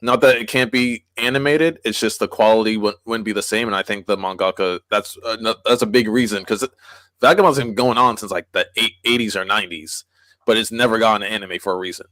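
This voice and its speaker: A man's voice: lowish voice, brisk, bright, forceful tone, no room sound. A man co-hosting a podcast, talking enthusiastically and rambling with many "like"s. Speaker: podcast co-host. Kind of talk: casual chat.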